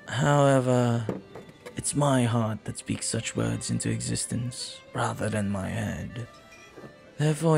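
A man playing a flirty, silver-tongued pirate in a romantic audio roleplay, tender and teasing, sometimes dropping to a breathy murmur. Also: medium pitch, darkish tone. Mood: flirty, sincere. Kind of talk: romantic audio roleplay acting, intimate second-person monologue.

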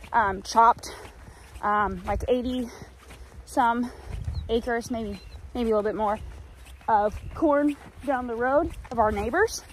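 A chatty woman in her thirties rambles in a down-to-earth way about farm work, sounding sore and tired. She talks into a phone held at arm's length outside on a farm, with some outdoor noise in the phone audio. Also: a little high. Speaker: farmer vlogger. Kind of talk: casual vlog chat to camera. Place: outdoors on a farm, by fields and a cattle barn.

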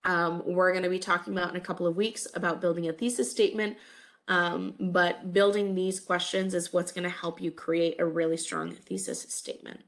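A woman's voice: lowish voice, normal speed, fairly bright tone. Stuffy-nosed woman teaching over webcam. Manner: friendly, many "um" fillers. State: stuffy from a cold. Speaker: college English instructor. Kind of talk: recorded online lecture. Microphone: webcam over slides.

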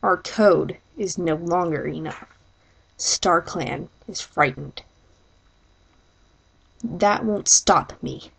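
Woman as a slow, stern tomcat. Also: on the low side, creaky, husky, pitched below the performer's own voice, heavily acted, poor audio. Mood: solemn, threatening. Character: stern, threatening male clan cat.

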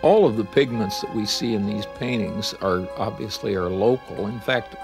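A middle-aged historian speaks in a thoughtful, explanatory way with a gravelly edge to his voice. Music plays clearly beneath him, as in an old documentary. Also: lowish voice, normal speed. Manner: thoughtful, explanatory, some fillers. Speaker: historian interviewed. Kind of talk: explanatory interview. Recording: voice under background music.

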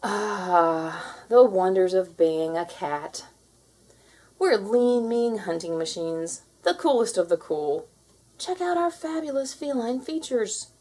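Woman playing a sassy storybook cat, boastful, theatrical, slow delivery, animated intonation. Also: mid-range voice, husky. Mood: smug, boastful. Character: smug, sassy alpha cat lecturing younger cats. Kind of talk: children's storybook read-aloud.

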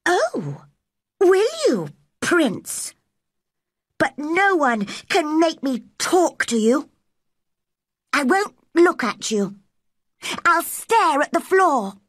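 A woman voicing a fierce, stubborn young girl in a storybook reading, cross, sharp-tongued and defiant. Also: sits high, sing-song, very slow, long pauses, no room sound, studio quality, pitched above the performer's own voice, exaggerated voice. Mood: defiant, angry.

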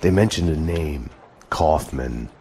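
Very deep, brooding American detective. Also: male voice, a little hiss or hum. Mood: somber, reflective. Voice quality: deep, low voice. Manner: grave, deadpan, measured. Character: brooding, guilt-ridden male homicide detective narrating his past. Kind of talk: noir scripted narration. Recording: loud music behind.

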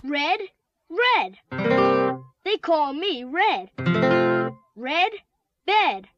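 A woman's voice: high-pitched, very animated, over loud music. A woman narrating a children's read-along book, word by word and with exaggerated care, on a dull old cassette. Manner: exaggerated, deliberate word-by-word delivery. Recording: dull, noisy 1980s cassette transfer.

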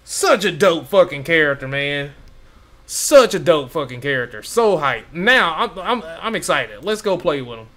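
A young man in a home room giving casual, excited reaction commentary on a game, repeating phrases and stumbling over names. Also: on the high side, normal speed. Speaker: gaming reaction YouTuber. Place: home room with gaming chair.

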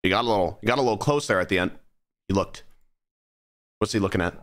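A man's voice: lowish voice, fast talker, fairly bright tone. A young man streaming a horror game, giggling and making wry comments back at the screen.

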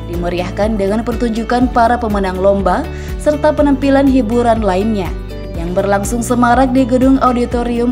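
A woman narrating a scripted regional news report in an even, formal reading voice. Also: fairly high pitch. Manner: even, formal read delivery. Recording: voice-over with music bed.

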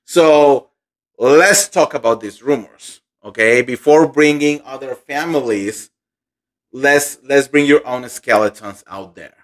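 A man, hosting an animated, indignant gossip commentary vlog into a studio microphone at home. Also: mid-range voice, slow. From Colombia. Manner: animated, indignant, emphatic repetition. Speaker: pop culture gossip host. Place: home room indoors. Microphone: studio microphone on boom arm.

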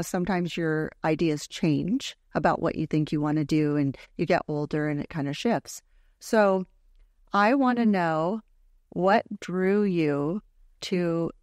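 A woman's voice: lowish voice, measured pace, darkish tone, dry recording. A middle-aged woman hosting a podcast in a studio, chatting casually, affirming her guest and steering with questions. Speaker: podcast host. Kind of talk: casual interview chat. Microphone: headphones and studio microphone.

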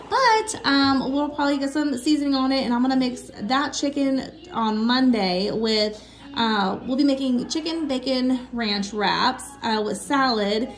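A woman's voice: fairly high pitch, a bit bright. A woman in her thirties chatting casually and in a friendly way to her viewers as a vlogger. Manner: chatty, friendly, frequent small laughs. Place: home kitchen, indoors.

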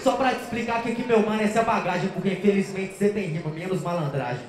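A man's voice: high voice, quick pace. A man freestyling in a rap battle, delivering rhythmic insults into a handheld mic on an outdoor stage. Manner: rhythmic, insulting, rapping on the beat. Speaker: battle rapper. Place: outdoor stage under an overpass, big crowd. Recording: echoey outdoor PA over a loud beat.

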